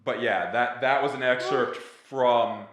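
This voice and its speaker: A young man co-hosting a casual podcast. He talks in an animated, teasing way and laughs often. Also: mid-range voice, measured pace. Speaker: podcast co-host. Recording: echoey room.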